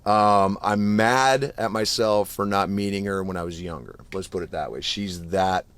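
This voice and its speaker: Low-voiced man, fifty, swearing freely. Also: normal speed, clear, resonant tone, dry recording. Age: 50s. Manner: profane, animated storytelling. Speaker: guest musician. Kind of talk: casual podcast chat.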